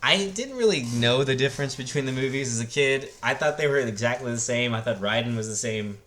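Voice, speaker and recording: A man in his thirties hosting a casual, rambling review in a halting, searching way, with laid-back slangy enthusiasm. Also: mid-range voice, lively intonation, fairly bright tone. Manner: hesitant, halting, searching for words. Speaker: video host reviewing films. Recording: roomy, echoey sound.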